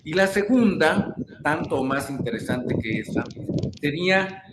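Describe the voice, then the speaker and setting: A man hosting a live interview, greeting viewers and asking questions with halting restarts. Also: on the high side, expressive. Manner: warm greetings, halting restarts, repeated words. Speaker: host. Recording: roomy sound with clear background noise.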